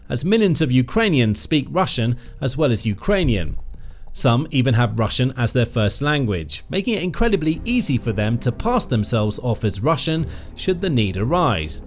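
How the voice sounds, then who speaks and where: A man narrates in a scripted documentary style, his pitch rising and falling a great deal as he leans on key words. His delivery is dramatic and emphatic, with pauses for effect. Faint music plays underneath his voice. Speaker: narrator of a military news channel. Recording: faint music bed behind the voice.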